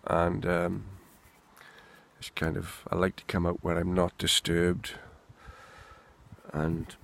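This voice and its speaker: A man's voice: low-pitched, little pitch movement, slow, creaky, a bit bright. A Welsh man rambling candidly and swearing freely in an unscripted monologue, recorded outdoors in the wind. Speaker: vlogger.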